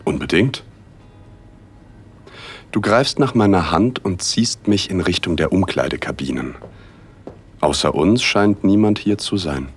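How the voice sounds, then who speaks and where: A man narrating a first-person erotic audio drama in a hushed, intimate, unhurried way, with a husky voice. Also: deep voice, expressive. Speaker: narrator and male lead.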